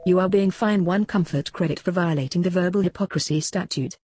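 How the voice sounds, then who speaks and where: A man's voice: high-pitched, brisk, loud music behind the voice, natural voice. A male synthetic voice playing an officious AI assistant, formal, sardonic and pedantic, in a scripted comedy podcast. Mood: officious, scolding. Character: officious AI studio assistant issuing petty fines.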